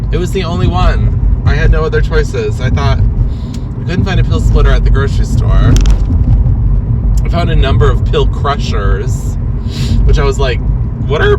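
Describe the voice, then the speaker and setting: A sniffly man, hosting a podcast. He tells a rambling, self-mocking story in a casual solo monologue and laughs often. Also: medium pitch. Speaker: podcast host. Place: in a car. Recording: distant mic, road and traffic noise.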